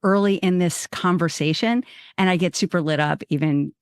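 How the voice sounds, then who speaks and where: A woman's voice: fairly low pitch, moderate pace, smooth, no echo, studio quality. A woman hosting a podcast, talking enthusiastically and rambling, restarting her sentences. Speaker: podcast host. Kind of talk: casual interview chat.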